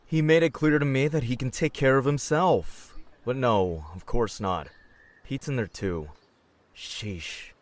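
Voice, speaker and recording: A man's voice: medium pitch, unhurried, slight background noise, lightly acted. A man acting a cynical, protective and hot-tempered young older brother in an audio drama. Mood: irritated.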